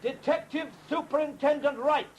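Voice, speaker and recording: A commanding police superintendent in a 1970s radio play. His voice is raised very high, urgent and authoritative. The old recording has faint noise. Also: normal speed, dull audio. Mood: firm, controlled. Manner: authoritative, calling out. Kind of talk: 1970s BBC radio drama acting.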